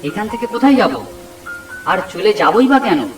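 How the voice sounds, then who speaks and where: A young college-age nephew in a horror cartoon, voiced by a man, speaking in a raised, eager pitch. He is worried and questioning. The voice is echoey, with faint music behind it. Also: big pitch swings, moderate pace. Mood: puzzled. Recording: echoey, distant voice over music.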